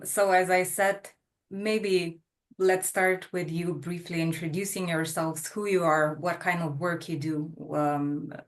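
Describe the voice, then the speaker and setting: A woman from Slovenia moderating an online panel, prompting the speakers in a relaxed, friendly way over a video call. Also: lowish voice.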